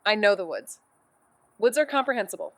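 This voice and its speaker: A woman's voice: mid-range voice, animated, fairly quick, a bit bright, dry recording. An actress in her twenties playing a cocky, playful amateur sleuth in an audio drama, glib and given to rambling, dramatic overexplaining. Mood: uneasy. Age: 20s. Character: cocky, playful, self-taught young woman amateur sleuth. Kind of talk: naturalistic audio drama banter.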